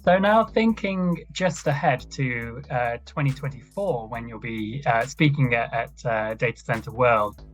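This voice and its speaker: A man asks interview questions in a halting, searching way, restarting his phrases often. His voice sits at a middle pitch. Faint music plays underneath. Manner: hesitant, many fillers and restarts.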